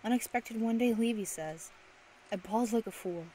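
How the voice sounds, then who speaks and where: A woman's voice: fairly high pitch, brisk, dark tone, lightly acted. A woman playing a tough, grieving young factory widow in an old-time radio drama, brusque, slangy and impatient. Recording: noisy amateur radio play recording.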